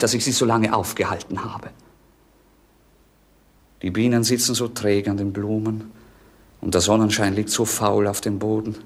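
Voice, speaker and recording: An actor playing a melancholy, bored young prince with witty irony, in a theatrical 1950s German radio drama style. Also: male voice, lowish voice, creaky, breathy edge. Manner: theatrical, ironic, declamatory. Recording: 1950s radio play recording.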